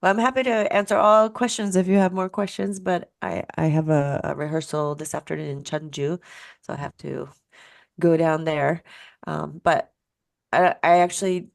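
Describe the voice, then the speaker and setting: A woman's voice: low voice, fairly even intonation, moderate pace, gravelly, dry recording, clean studio sound. A woman speaking as a guest musicologist on a video call, explaining thoughtfully and searching for words as she goes.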